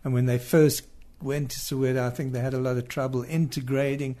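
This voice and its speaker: A man's voice: mid-range voice, level tone, fairly quick, dark tone. A man from South Africa with a South African accent, a musician looking back in an interview. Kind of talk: reflective interview recollection.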